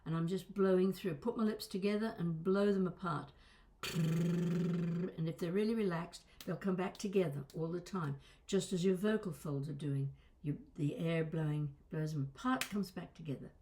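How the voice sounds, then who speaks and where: A woman's voice: on the low side, normal speed, mellow. A woman coaching vocal exercises in a conversational, instructional way, stressing and stretching key words. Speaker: voice and accent coach.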